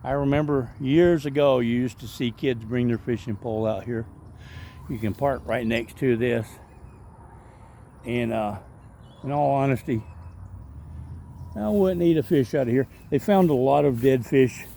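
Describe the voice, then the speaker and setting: Rambling man with a gravelly voice. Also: mid-range voice, unhurried, long pauses, dark tone, slight background noise. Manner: rambling, chatty, with frequent ums and asides. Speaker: vlogger and street evangelist. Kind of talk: casual walking vlog narration. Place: outdoor park by a waterway.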